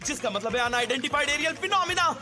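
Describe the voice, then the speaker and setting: A man playing a nerdy, eager teenage boy in an animated cartoon dub, earnest and insistent. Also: very high-pitched, fast. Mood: excited. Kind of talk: Hindi anime dub acting. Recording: music behind the voice.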